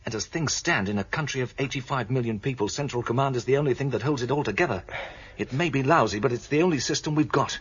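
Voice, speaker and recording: A man's voice: medium pitch, fast talker, fairly bright tone, husky. An actor playing a skeptical male official who is loyal to the system and speaks in a doubtful, matter-of-fact way. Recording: dull lo-fi radio drama recording.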